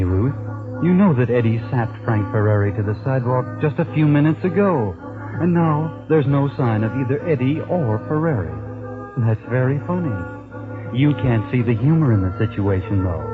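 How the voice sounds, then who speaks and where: An actor playing a knowing, sardonic narrator in a 1940s radio mystery, wry and ominous as the storyteller. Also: male voice, medium pitch, lightly acted. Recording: muffled old radio recording with music.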